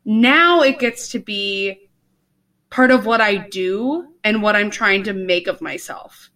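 A woman's voice: medium pitch, takes it slowly, pushed and bright. A young woman co-hosting a podcast in rambling casual chat, full of fillers, talking over a video call. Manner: rambling, lots of fillers and laughs. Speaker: podcast co-host.

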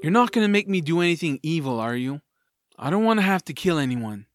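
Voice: mid-range voice, expressive, fast talker, faint music behind, lightly acted. Earnest young boy hero played by an actor. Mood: wary, resolute. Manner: earnest, plain-spoken.

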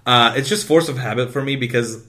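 A man co-hosting a casual podcast, speaking English as a second language, rambling excitably and swearing constantly. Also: medium pitch, a little fast. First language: not English. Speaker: podcast co-host. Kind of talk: casual chat.

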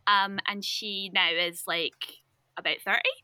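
A young woman talks as a podcast guest, chatting casually and laughing often. Her voice is very bright and sharp. The sound is dry and close. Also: mid-range voice, steady tone, unhurried, no room sound. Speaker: linguistics researcher guest.

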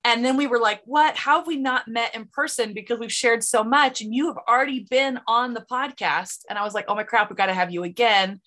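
A woman with a Utah accent hosting a podcast interview, chatty and quick to laugh. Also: on the high side, fairly bright tone. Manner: bubbly, laughs often, chatty. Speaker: podcast host. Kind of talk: casual interview chat.

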